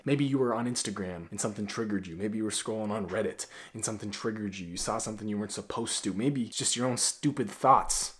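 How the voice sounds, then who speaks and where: A man in his twenties giving blunt, profane self-improvement advice straight to camera in a small bedroom, often swinging from loud to quiet. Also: fairly low pitch. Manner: blunt, profane, animated with big swings in loudness. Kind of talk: direct-to-camera advice vlog.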